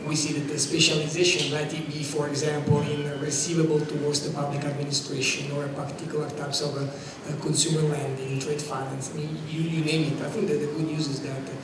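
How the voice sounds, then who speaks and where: A man with an Italian accent talks through a conference panel at a steady, flat pitch. He sounds eager but leans on fillers. He is heard from a distance in an echoing, noisy hall. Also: fast, hardly any pauses. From Italy. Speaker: fintech panelist. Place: conference stage.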